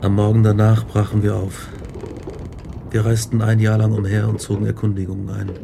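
An actor playing a tormented, guilt-ridden young scientist in a German radio drama, grave and intense. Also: male voice, on the low side, completely flat delivery, a little fast, creaky, background noise. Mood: earnest, driven. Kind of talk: German radio drama acting, narration and dialogue.

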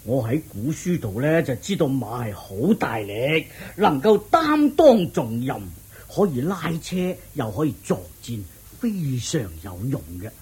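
An actor playing a jovial, curious king in a radio drama, with a rough husky voice and a Hong Kong Cantonese accent, exclaiming eagerly. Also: medium pitch, big pitch swings, unhurried, darkish tone, heavily acted. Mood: amazed, curious. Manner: exclaiming, chuckling, repeating words eagerly. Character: excitable, jovial king, curious and easily delighted.

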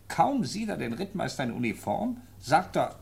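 An actor playing a talkative, somewhat disdainful police commissioner in a radio play, reporting in clipped sentences. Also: male voice, a little high, big pitch swings, normal speed, vocal fry, heavily acted. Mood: animated, reporting.

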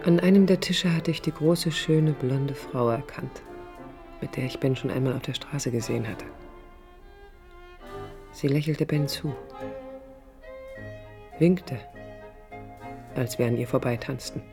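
An actress playing a reflective young woman architect who narrates her life in a pensive, deliberate radio-play monologue. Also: a bass voice, music in the background. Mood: wistful. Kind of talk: literary inner monologue, 1980s radio play.

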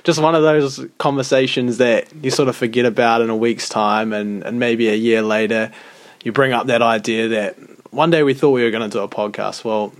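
A young man co-hosts a laid-back podcast in a reflective tone. He speaks at a middle pitch, and he often repeats a word before he carries on. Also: moderate pace. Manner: relaxed, reflective, repeats words. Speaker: podcast co-host. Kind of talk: casual podcast chat.

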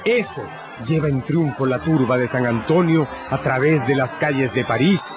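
A man delivering dramatic scripted narration for a radio novel, solemn and emphatic, with deliberate phrasing. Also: mid-range voice, crowd noise, background noise. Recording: dull lo-fi radio production, loud music.

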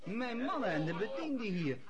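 An actor playing a jovial, worldly French army captain in a radio drama, expansive and halting, with many little interjections. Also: male voice, high voice, very animated, dull audio, over a noisy crowd.

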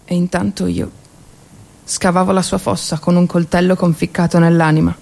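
A woman performing a theatrical war monologue as a stage actress, intense and emphatic, with dramatic pauses. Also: lowish voice, level tone, vocal fry, darkish tone.

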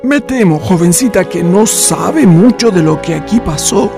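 An actor playing a courteous old storyteller in a radio drama, with a Chilean accent and a theatrical manner. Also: male voice, sits high, animated, a little slow, creaky, music in the background, exaggerated voice. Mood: courteous, inviting. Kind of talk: Spanish-language radio drama acting.

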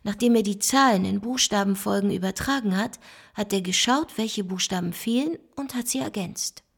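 A female investigator in a radio drama, analytical and literate. Her is deliberate and explanatory, with a husky, gravelly edge. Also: medium pitch. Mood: reflective, explaining. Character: analytical woman investigator puzzling out a secret code. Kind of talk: German radio play dialogue.

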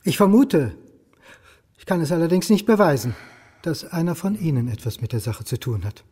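A mellow, husky, brooding historian. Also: male voice, a little high, animated, normal speed, no room sound. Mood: tense, earnest. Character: beleaguered male historian and university lecturer, indignant, doubting, stubborn. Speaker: radio drama actor. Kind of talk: German radio crime drama acting, dialogue and inner monologue.

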